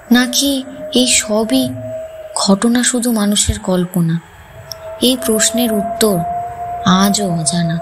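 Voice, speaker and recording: Slow-paced woman narrating ghost stories. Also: mid-range voice. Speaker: host and narrator of a ghost story channel. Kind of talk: scripted horror story narration.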